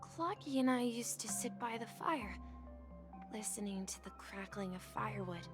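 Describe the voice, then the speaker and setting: A woman's voice: medium pitch, animated, moderate pace, music in the background, lightly acted. A woman voicing an earnest, innocent young boy in a video game, wistfully telling stories and dreaming of adventure at sea.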